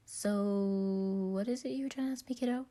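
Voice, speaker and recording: A woman voicing a friendly, excitable giant man in a story, gushing and stammering when flustered. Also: medium pitch, normal speed, pitched above the performer's own voice, lightly acted. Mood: cheerful, welcoming.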